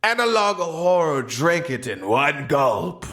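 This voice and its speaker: A man's voice: fairly high pitch, sing-song, slow, bright, forceful tone. A man narrating an absurd comedic tale as a storyteller, with a theatrical, exaggerated delivery.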